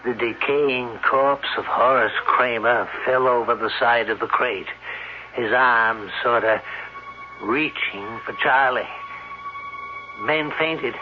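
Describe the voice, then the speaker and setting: Radio storyteller, played by a man: shrewd small-town type, slow and suspenseful, slightly dull vintage sound. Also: mid-range voice, soft background music, lightly acted. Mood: suspenseful, grim. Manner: deliberate, suspenseful, explanatory. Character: shrewd, unhurried townsman telling a grim tale. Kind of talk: old-time radio drama storytelling. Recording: dull old radio recording.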